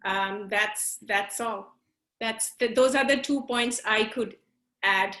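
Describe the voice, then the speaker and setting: A woman with a slightly high voice gives feedback in class. She speaks politely and hesitantly, feeling her way through each point. Her video call audio echoes as if from a bare room. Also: slow, bright, forceful tone. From Bangladesh. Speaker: trainee interpreter. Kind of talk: giving peer feedback in a class.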